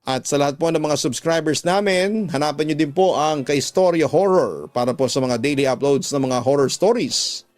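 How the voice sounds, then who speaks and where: A man's voice: fairly high pitch, moderate pace, a little mellow. A man with a Filipino accent reading a listener's letter aloud as a dramatic radio storyteller and host. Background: background music.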